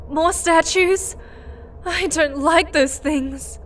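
Actress as wary woman, high voice, slow drawn-out delivery, hesitant, sci-fi audio drama. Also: fairly bright tone, slight background noise, exaggerated voice. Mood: uneasy.

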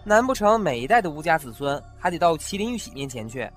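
A restless young noble heir played by a man keeps pressing his elders with questions in a fan audio drama. His voice sits fairly high and swings freely up and down. Faint music runs underneath. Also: big pitch swings, fast. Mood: curious. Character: curious, impatient young heir of a noble family.

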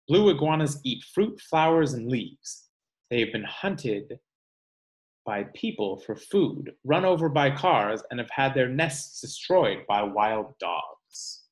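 A man in his thirties, a teacher reading a picture book aloud to children in measured, clear phrases at home. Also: medium pitch, lively intonation. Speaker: teacher reading aloud to third-grade class. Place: room at home.

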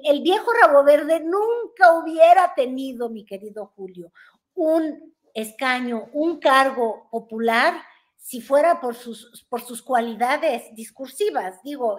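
A Mexican woman with a high, bright-sitting voice talks politics in a playful, teasing way. She is in her thirties and joins by video call from a room at home, gesturing as she speaks. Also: unhurried. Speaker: guest commentator. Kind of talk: casual political chat.

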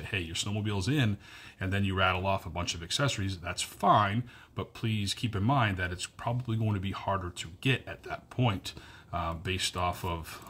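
A man's voice: low voice, fairly bright tone, slightly rough. A man in his thirties giving an earnest direct-to-camera update from a wood-paneled room, filmed on a phone held close, repeating his key points with lots of fillers. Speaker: powersports dealer staff. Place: wood-paneled cabin bedroom indoors. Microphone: phone camera at arm's length.

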